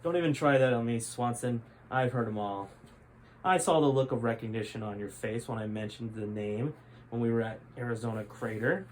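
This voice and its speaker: A man's voice: mid-range voice, moderate pace, a little mellow, a little hiss or hum, natural voice, rough recording. A man voicing a cocky male adventurer who talks back brashly in a read-aloud story. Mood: pointed.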